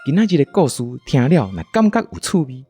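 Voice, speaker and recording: A man's voice: fairly high pitch, big pitch swings, brisk, warm tone, slightly rough, faint music behind. A man with a Taiwanese accent hosting and narrating a scripted children's story in radio-drama style. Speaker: storyteller and show host.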